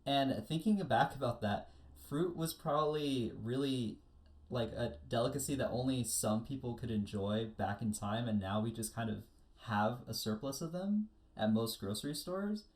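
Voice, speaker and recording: A man with an American accent in a casual panel chat about anime, retelling things in an animated way with acted-out quotes. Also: mid-range voice, moderate pace. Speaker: podcast panelist.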